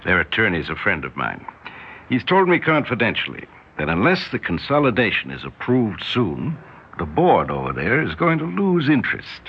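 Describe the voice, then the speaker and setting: Very deep-voiced, smooth schemer. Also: male voice, huge pitch swings. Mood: smooth, pressing. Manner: measured, insinuating. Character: smooth, scheming brother in a family business plot. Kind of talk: 1960s radio drama acting. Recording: muffled, hissy 1960s radio recording.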